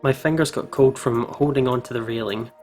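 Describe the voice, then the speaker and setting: A man narrating a visual novel in an expressive way, as a playful gaming YouTuber. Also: medium pitch, brisk, soft background music.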